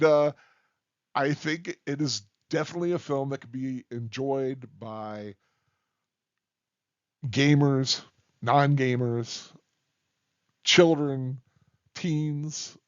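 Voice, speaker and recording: A man giving an unscripted, rambling film review in a halting, deliberate way with emphatic stresses. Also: medium pitch, no echo, muffled recording.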